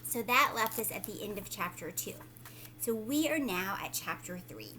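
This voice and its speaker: Expressive woman teacher reading aloud. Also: medium pitch, moderate pace, slight background noise, low-quality recording. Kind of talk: children's read-aloud with explanatory asides.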